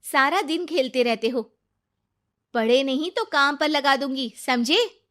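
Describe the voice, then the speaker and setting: A woman's voice: high voice, lively intonation, measured pace, fairly bright tone, voice pushed up. A woman voicing a scheming, bossy stepmother in a cartoon moral story, with an emphatic scolding manner. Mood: angry scolding. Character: Geeta, scheming stepmother, turned harsh and bossy.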